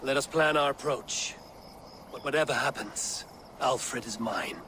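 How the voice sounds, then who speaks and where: An actor playing a fierce, vengeful Norse war leader in a video game, commanding and solemn. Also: male voice, medium pitch, measured pace, pushed and bright, slight background noise. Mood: grim, resolute. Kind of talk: dramatic video game acting.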